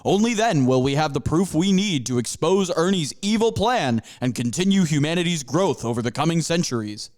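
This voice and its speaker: A man's voice: a little high, lively intonation, brisk, hardly any pauses, darkish tone, natural voice. A man voicing a pompous panther professor who lectures his students in grandiose, stretched-out phrases. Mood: lecturing. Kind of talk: amateur audio drama.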